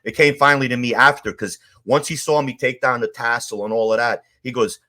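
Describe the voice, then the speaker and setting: A man's voice: mid-range voice, fairly even intonation, a little fast, pushed and bright. A middle-aged man telling stories in a rambling, streetwise way, with many "you know"s, as an interview guest. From Queens, New York. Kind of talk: casual storytelling.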